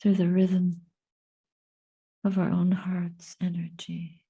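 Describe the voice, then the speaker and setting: A woman giving a guided meditation and reflection as a Buddhist teacher, speaking softly and breathily in measured, contemplative phrases with long pauses. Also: lowish voice, monotone. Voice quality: soft, breathy.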